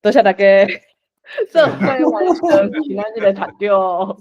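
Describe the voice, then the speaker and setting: Female host, Taiwanese accent, coaches readers through lines; expressive intonation, easy pace. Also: mid-range voice, measured pace, muffled recording, over a noisy crowd. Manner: prompting, coaching participants. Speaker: host of an online play-reading session. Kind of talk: leading an interactive Taiwanese read-aloud.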